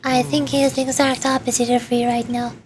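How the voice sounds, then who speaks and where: A woman's voice: high voice, level tone, brisk, slight background noise. Casual woman streamer commenting on gameplay. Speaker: game streamer.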